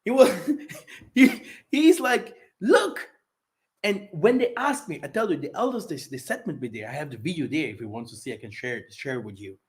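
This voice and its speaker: An older man whose first language is German, hosting a rambling, animated stream and reading Bible verses aloud, clearing his throat often. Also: a little high, moderate pace. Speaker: channel host. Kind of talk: livestream monologue with scripture reading.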